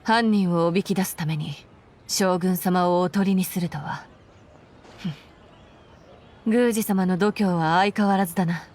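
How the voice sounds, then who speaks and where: A woman playing a stern, brisk military commander in an anime game, with a formal, assertive manner. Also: fairly low pitch, fairly even intonation, a little mellow, natural voice. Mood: firm, commanding. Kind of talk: Japanese anime game voice acting.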